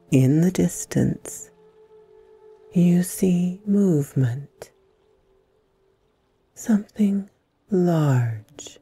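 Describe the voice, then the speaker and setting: Very slow, dark, breathy low-voiced woman. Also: no echo. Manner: breathy, soothing, deliberate phrases with long pauses. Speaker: guided meditation host. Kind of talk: slow guided meditation narration.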